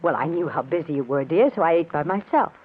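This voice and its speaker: An actress playing an anxious, tender, secretive wife with a gentle, emotive delivery in old-time radio drama style. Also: female voice, deep voice, animated, fast, husky. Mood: evasive, light. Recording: muffled old radio, faint hiss.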